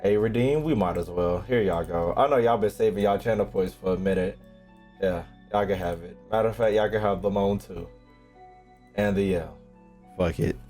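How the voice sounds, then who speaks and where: A man giving casual livestream gameplay commentary, excitable, slangy and full of swearing. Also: low-pitched, moderate pace. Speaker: game streamer. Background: game music.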